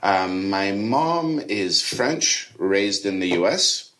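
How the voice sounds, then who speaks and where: A man's voice: lowish voice, animated, takes it slowly, fairly bright tone. A middle-aged man raised in Rome, a chef and food tour guide, chatting enthusiastically in a podcast interview. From Rome, Italy. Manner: chatty, enthusiastic, casual fillers.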